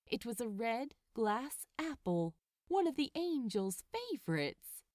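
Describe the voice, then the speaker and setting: A woman reading a story aloud as narrator, with expressive, deliberate phrasing. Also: on the high side, no room sound, clean studio sound.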